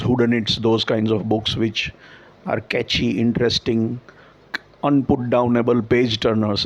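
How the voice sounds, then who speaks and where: A Gujarati man talks in Indian English with a gravelly edge, mid-pitched and unhurried. He explains patiently with everyday examples and often restarts a phrase. The recording is a bit muffled and lo-fi. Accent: Gujarati-influenced Indian English. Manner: thoughtful, explaining with examples and self-corrections. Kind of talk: reflective conversational talk.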